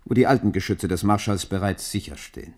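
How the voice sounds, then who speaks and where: An actor in a German radio play playing a stern, shrewd minister, measured and formal as he counsels his king. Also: on the low side, darkish tone, close to an everyday voice. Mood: sly, assured. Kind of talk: German radio drama acting.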